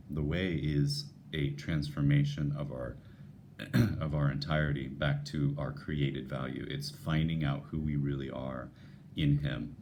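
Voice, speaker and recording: A man with an unusually deep, radio-like voice, talking earnestly and musing to his viewers about faith, with frequent restarts. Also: darkish tone, slight background noise. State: voice lowered by a passing illness. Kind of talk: unscripted devotional talk to viewers.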